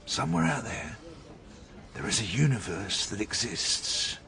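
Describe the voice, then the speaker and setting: A man's voice: fairly low pitch, sing-song, a little slow, vocal fry, sharp tone, heavily acted. A British man playing an opinionated, wry middle-aged police detective in a radio drama, with an insistent, mock-pompous way of talking. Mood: pedantic, insistent. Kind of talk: naturalistic radio drama dialogue.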